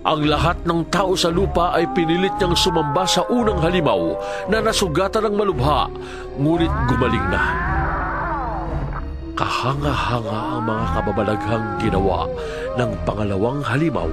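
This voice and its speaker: High-voiced man narrating scripture dramatically over music. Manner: solemn, deliberate phrasing with dramatic swells.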